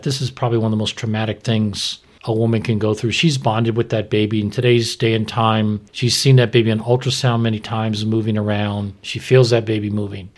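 A middle-aged American man, a doctor, explaining medical matters informally in a frank, measured way. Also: on the low side, darkish tone. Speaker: maternal fetal medicine doctor, podcast expert.

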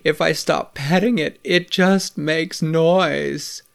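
A man's voice: mid-range voice, unhurried, natural voice. A man voicing an exhausted, sleepless neighbor who drags out his words in weary complaint.

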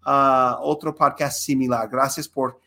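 A man's voice: mid-range voice, level tone, a little slow, pushed and bright. A man hosting an interview podcast, talking with many hesitations and fillers. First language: Spanish. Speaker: podcast host. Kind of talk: conversational interview.

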